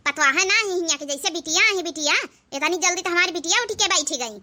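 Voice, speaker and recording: A woman's voice: extremely high pitch, a little fast, sharp tone, heavily acted. A woman voicing a bossy village mother-in-law who scolds and threatens, in Awadhi-accented comic cartoon dialogue. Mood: scolding, mocking. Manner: haranguing, threatening.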